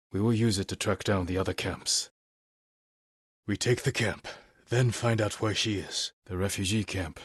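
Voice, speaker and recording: A man playing a stoic, determined samurai warrior in a video game, with a husky, hushed voice and a terse, grave, measured delivery. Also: lowish voice, no echo, studio quality. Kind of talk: dramatic video game voice acting.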